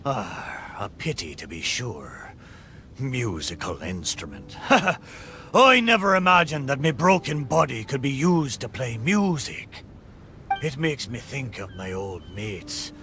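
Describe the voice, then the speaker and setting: A man's voice: mid-range voice, very animated, takes it slowly. A man playing a sentimental talking shipwreck in a video game, speaking like a theatrical old pirate sailor with a stage pirate brogue. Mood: wistful, nostalgic. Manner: theatrical, hearty, archaic sailor phrasing. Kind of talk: video game character acting. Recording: game music behind the voice.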